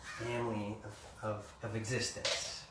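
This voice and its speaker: This is a calm man in his forties, raised in Mexico City, talking a workshop group through his background at an easy pace. He sounds a little distant, with the room ringing around his voice. A faint hiss sits underneath. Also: fairly low pitch, echoey room. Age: 40s. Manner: gentle, unhurried, reads poem slowly. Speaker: communication trainer. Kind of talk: relaxed workshop talk with a poetry reading. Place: meeting room with a live group.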